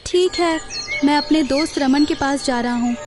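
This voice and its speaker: Lady doing a squeaky little village boy, whiny, keeps begging, cartoon. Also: normal speed, music in the background, natural voice. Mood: eager. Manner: whiny, pleading. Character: hungry pleading village boy. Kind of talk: cartoon voice acting.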